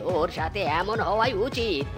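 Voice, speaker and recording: A woman voicing a vain, scolding old father fox in a cartoon, gruff and commanding. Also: medium pitch, expressive, normal speed, music in the background, close to an everyday voice. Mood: scornful, angry. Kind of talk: exaggerated Bangla cartoon acting.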